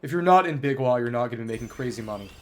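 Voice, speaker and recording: A man's voice: medium pitch, expressive, very fast. A man livestreaming a game, thinking aloud in bookish wording as he chats casually with viewers and wanders between topics. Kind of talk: casual gameplay commentary, chatting with viewers.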